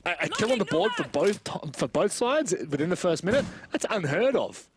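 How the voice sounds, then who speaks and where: A man doing live play-by-play esports commentary in an expressive, exclamatory way with joking asides. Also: high voice, moderate pace, noisy background.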